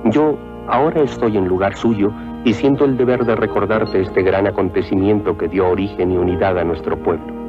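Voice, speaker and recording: A man narrating history from a script in a solemn, formal, measured way. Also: on the low side, steady tone. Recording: muffled lo-fi old film soundtrack. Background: loud music.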